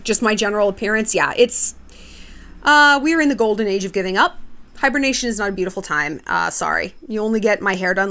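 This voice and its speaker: A woman's voice: mid-range voice, a bit bright. A woman hosting her own video, chatting casually and gossipy to camera in a sassy, irreverent way with some swearing. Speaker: host of an online video channel.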